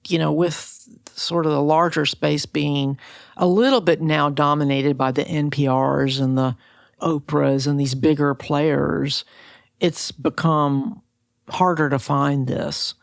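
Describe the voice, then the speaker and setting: An American woman hosts a podcast interview in a conversational style. Her voice sits low and has a strong creak. The sound is close and very dry. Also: level tone, slow, dry recording.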